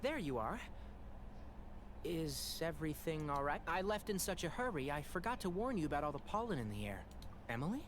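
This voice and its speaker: A woman's voice: deep voice, very animated, fairly quick, a little hiss or hum. A woman playing an earnest, caring young forest ranger in an anime video game, explaining things helpfully. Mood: concerned.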